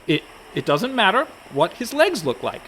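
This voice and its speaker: Guy doing a prissy reluctant pirate lad, indignant n fussy, very animated, faint noise behind. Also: high voice, moderate pace. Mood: exasperated. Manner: fussy, exasperated. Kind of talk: comedic radio play acting.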